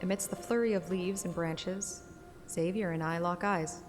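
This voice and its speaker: Low-pitched woman narrator in long reverb. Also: steady tone, moderate pace, over music. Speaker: narrator reading a game story aloud. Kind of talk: audiobook narration with acted dialogue.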